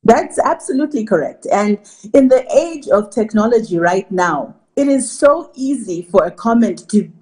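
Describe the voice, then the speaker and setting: A middle-aged woman from Zimbabwe, a marketing executive answering TV interview questions in an articulate, measured way. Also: on the high side, expressive, a little mellow, poor audio.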